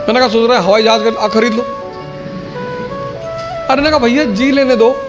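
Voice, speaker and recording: A man's voice: extremely high pitch, normal speed. A man telling a personal anecdote informally, quoting bits of dialogue as he goes. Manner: informal, quotes dialogue as he recounts. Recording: music behind the voice. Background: music.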